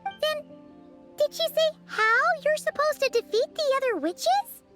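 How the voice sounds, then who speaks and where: A woman's voice: very high-pitched, animated, a little slow, fairly bright tone, faint music behind, full character voice. A woman voicing a friendly, eager, childlike girl adventurer in an anime-style video game, cheerful and encouraging. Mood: curious.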